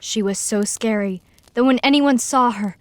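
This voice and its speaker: A woman's voice: a little high, normal speed, close to an everyday voice. A woman voicing a confident, playful female dragon who tells campfire tales in a fan audio drama. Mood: storytelling.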